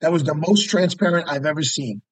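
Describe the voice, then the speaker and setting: A man's voice: a little high, a little fast, no room sound. A man, a lawyer guest talking casually and emphatically, often repeating words as he makes his point. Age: 50s. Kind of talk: casual podcast interview.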